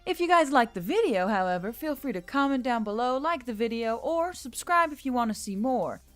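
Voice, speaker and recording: A woman's voice: on the high side, lively intonation, fairly quick, no echo, faint music behind. A woman narrating a scripted story as host of an audio fiction channel.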